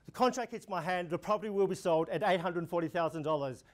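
A young man with an Australian accent, an auctioneer running a practice auction, calling bids in a steady repeating rhythm. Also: high voice, fast talker. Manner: rhythmic, repetitive bid calling, gestures while talking. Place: indoor room before a projection screen.

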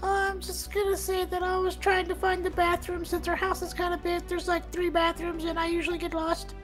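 A woman's voice: very high voice, completely flat delivery, brisk, soft background music. A woman voicing a small, eager, apologetic schoolboy in comedy dialogue, pleading and rambling in a childlike way. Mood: eager, nervous.

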